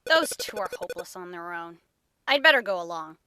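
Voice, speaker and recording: A woman's voice: lowish voice, animated, fairly quick, sharp tone, smooth, no room sound. A woman playing a sensible, slightly exasperated schoolgirl mage in a game fan dub. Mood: concerned, wry.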